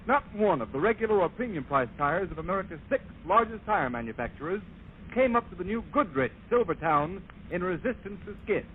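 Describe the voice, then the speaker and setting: A man's voice: sits high, sing-song, a little fast. A man announcing formally in a declamatory 1930s radio style, reading scripted advertising and narration. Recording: noisy, tinny 1930s radio recording.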